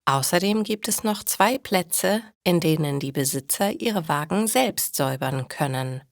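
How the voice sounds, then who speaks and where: A woman narrating a scripted story for language learners in a careful, measured read-aloud manner. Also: low-pitched, expressive, no echo, clean studio sound. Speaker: narrator of stories for German learners.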